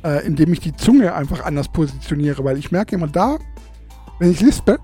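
A man's voice: fairly high pitch, animated, fairly quick, mellow, husky, faint music behind. A young man hosting his own podcast in casual chat. He lisps through a gap in his teeth, laughs often and mocks himself. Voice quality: lisp from a tooth gap. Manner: chatty, laughs often, self-mocking. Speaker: podcast host. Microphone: studio microphone.

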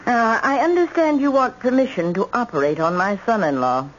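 A woman's voice: on the high side, expressive, normal speed, natural voice. An actress playing a haughty, embittered old grandmother with a formal, cutting way of talking in a radio drama. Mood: cold, probing. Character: embittered, imperious old grandmother, cold and vengeful. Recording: dull, noisy old radio broadcast.

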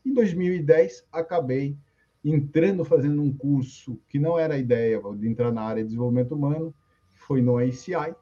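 Man, 50s, guest coach: dark mellow husky voice, relaxed storyteller, dull lo-fi stream audio. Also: fairly high pitch, brisk. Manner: easygoing storytelling with fillers. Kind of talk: casual interview on a live stream.

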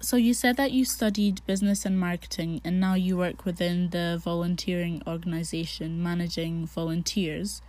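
A woman's voice: on the low side, steady tone, moderate pace, few pauses, darkish tone. A woman hosting a podcast, presenting introductions and interview questions. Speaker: podcast host interviewing a guest.

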